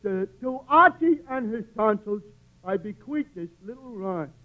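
An actor playing a dim-witted, good-natured tavern pal in an old-time radio comedy. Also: male voice, high voice, lively intonation, measured pace. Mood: earnest, pleased. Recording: dull, noisy vintage radio recording.

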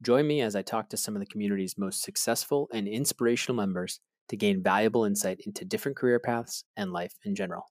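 A man's voice: fairly low pitch, quick pace, hardly any pauses, no room sound, studio quality. A man hosting a podcast, reading an upbeat intro and asking short questions. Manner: upbeat, promotional in intro, brief prompts. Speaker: podcast host. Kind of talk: interview hosting and scripted intro.

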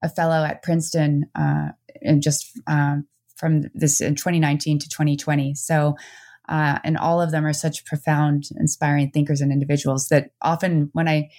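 Low-voiced woman, halting interview answers. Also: darkish tone. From Netherlands and New Jersey. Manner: hesitant, many restarts and fillers. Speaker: author and anthropology professor, guest. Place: home, speaking remotely.

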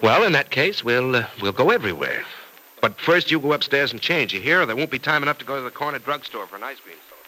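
An actor playing a firm, affectionate suitor in a 1940s-style radio soap opera, on a noisy old recording. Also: male voice, medium pitch, sing-song, quick pace, sharp tone. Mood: teasing, affectionate. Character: firm, affectionate male suitor, former estate owner.

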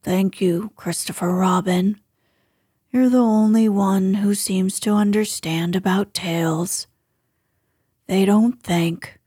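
A woman voicing a gloomy, self-pitying old male donkey from a children's storybook, grumbling slowly and with resignation. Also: medium pitch, fairly even intonation, mellow, breathy edge, no room sound, clean studio sound. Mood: mournful, grumbling.

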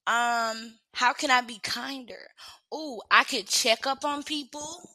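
A woman's voice: a little high, slow, sharp tone, slight background noise. A young woman hosting a livestream, reading self-reflection questions aloud and answering them frankly in casual chat. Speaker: livestream host.

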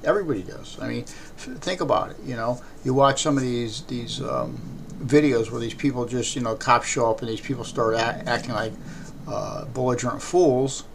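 Raspy American man, noisy podcast recording. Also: medium pitch. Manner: halting, throat-clearing, rambling. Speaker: podcast host. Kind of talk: unscripted podcast talk. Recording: clearly noisy background.

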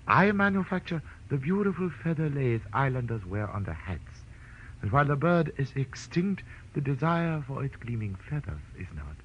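An actor playing a smug, scheming crime boss in a vintage radio drama, silky and gloating with theatrical politeness. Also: male voice, mid-range voice, big pitch swings, normal speed, natural voice. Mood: gloating, suave. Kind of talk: 1940s radio drama acting. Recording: dull, noisy vintage radio recording.

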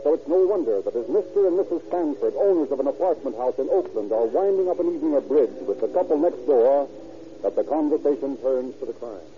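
A man narrating a 1930s radio crime drama in a brisk, dramatic announcing manner. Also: mid-range voice, expressive, slight background noise, lightly acted. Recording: tinny old radio broadcast under loud music.

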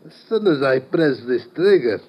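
An actor playing a smug, treacherous farmer turned gunman with a deliberate, sardonic manner in an old-time radio drama. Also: mid-range voice, lively intonation, highs cut off, natural voice. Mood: smug, menacing. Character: menacing, smug male farmer turned double-crossing gunman. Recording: noisy, hissy vintage radio recording.

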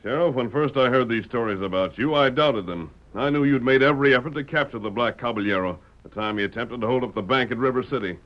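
Dull vintage radio western, male actor as commanding masked hero, American, medium pitch, formal, authoritative, deliberate. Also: natural voice. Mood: cool, firm. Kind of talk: old-time radio western acting. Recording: dull, lo-fi old radio recording.

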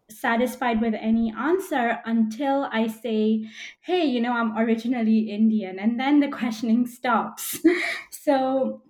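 A woman from Belgium with a somewhat high voice speaks as a guest scholar. She is articulate and measured, talking reflectively in an interview style. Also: a little mellow, low-quality recording. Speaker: podcast guest, literature professor. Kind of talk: reflective personal account in an interview.

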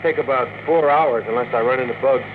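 An actor playing a down-to-earth, wisecracking rocket engineer in a classic radio drama. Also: male voice, mid-range voice, fast, close to an everyday voice. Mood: matter-of-fact. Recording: tinny, noisy old radio recording.

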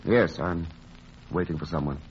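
Actor as earnest low-voiced romantic man, old radio. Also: moderate pace, a little hiss or hum, lightly acted. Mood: subdued. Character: earnest romantic painter in love, waiting. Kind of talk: 1940s radio drama acting. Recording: muffled old radio recording, music.

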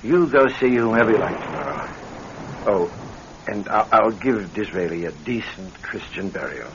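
An actor playing a considerate, slightly jealous husband, soothing and a little halting, in an old radio drama. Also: male voice, fairly low pitch, expressive, close to an everyday voice. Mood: conceding, tender. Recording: muffled, noisy vintage radio recording.

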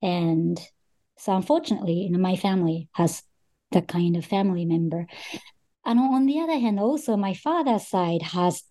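Mellow-voiced Japanese-accented woman scholar. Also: fairly low pitch, normal speed. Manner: thoughtful, with frequent "um" and "you know". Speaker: author guest, associate professor. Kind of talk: podcast interview.

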